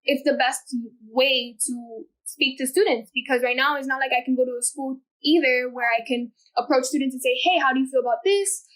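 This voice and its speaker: A teenage girl from Miami, a student advisor as interview guest, talking earnestly and eagerly in quick runs of thought. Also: sits high, fairly even intonation, a bit bright, low-quality recording. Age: teens. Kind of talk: casual interview chat.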